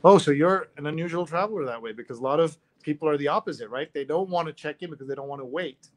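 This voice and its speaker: A man's voice: fairly high pitch, fast. A man hosting a live online show, interviewing in a casual, chatty way.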